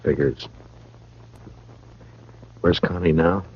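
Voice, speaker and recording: An actor playing a laconic, wry frontier marshal in a radio western, questioning people in a terse, unhurried way. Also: male voice, low-pitched, steady tone, husky, no echo, full character voice. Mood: terse, businesslike. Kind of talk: 1950s radio western acting. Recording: muffled vintage radio recording.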